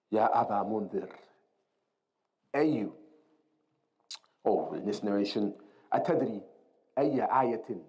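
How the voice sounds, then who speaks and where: A man giving an Islamic lesson as a religious teacher, explaining a text didactically, repeating key terms and mixing Arabic quotations into his English. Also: fairly low pitch.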